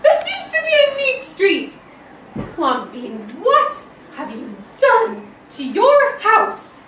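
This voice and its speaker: A woman voicing scandalized, scolding storybook neighbors with drawn-out, exclaiming indignation. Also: extremely high pitch, huge pitch swings, a bit bright, pitched above the performer's own voice, exaggerated voice. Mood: indignant. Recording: roomy, distant, noisy classroom recording.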